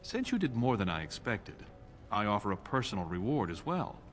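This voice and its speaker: A man's voice: lowish voice, big pitch swings, a little fast, faint music behind. A man playing an imperious newly crowned dwarf king in a fantasy video game, speaking in a formal, commanding way. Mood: formal, satisfied.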